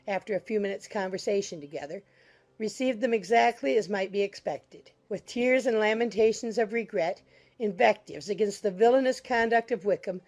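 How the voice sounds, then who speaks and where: A woman reading scripted literary narration for an audiobook in a measured, formal way. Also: medium pitch, a little mellow.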